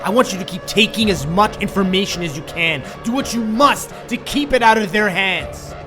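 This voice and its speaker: An actor playing an irritable, bossy lead scientist in an audio drama, barking impatient orders. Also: male voice, sits high, normal speed, over music, close to an everyday voice. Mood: impatient, urgent.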